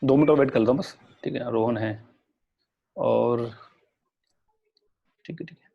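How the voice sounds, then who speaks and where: A man's voice: mid-range voice, unhurried, gravelly, muffled recording. A young man teaching a live online class with a sore throat, chatty and reassuring, mixing English words into Hindi. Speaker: online exam-prep teacher.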